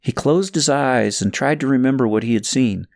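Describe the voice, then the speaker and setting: A man narrating a story from a script in an expressive, suspenseful, dramatic way. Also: medium pitch, normal speed, dry recording, clean studio sound. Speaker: audiobook narrator reading own novel.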